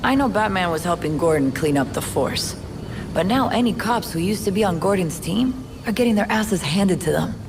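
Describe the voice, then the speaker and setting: Low-voiced, guarded police detective. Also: female voice, lively intonation, moderate pace. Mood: wary, frustrated. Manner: frank, guarded. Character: weary, wary honest policewoman detective, plain-spoken. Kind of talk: video game cutscene acting. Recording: noisy ambient background.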